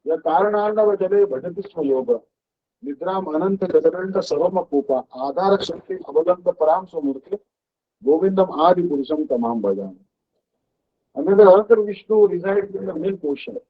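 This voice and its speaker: A man giving a devotional discourse as a spiritual teacher, halting often and checking in with the listeners. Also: high voice, lively intonation. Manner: halting, with frequent "uh" and questioning "huh?". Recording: dull lo-fi recording.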